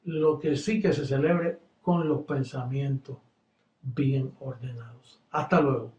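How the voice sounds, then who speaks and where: A man from Puerto Rico with a Puerto Rican Spanish accent, preaching fervently and then chatting relaxed as he closes. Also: medium pitch, takes it slowly, reverberant, muffled recording. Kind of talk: sermon closing and farewell.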